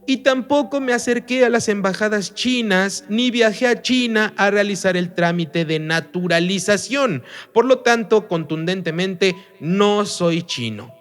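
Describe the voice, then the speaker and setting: High, lively-voiced man teaching. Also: measured pace, few pauses, smooth, faint music behind. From Mexico. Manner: emphatic, asks rhetorical questions. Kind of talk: recorded online lesson.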